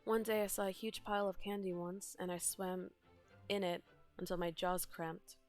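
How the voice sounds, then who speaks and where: A woman's voice: lowish voice, steady tone, normal speed, darkish tone. A woman streamer reading game dialogue aloud and translating it, in a dramatic narrating manner. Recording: streamed with game music behind.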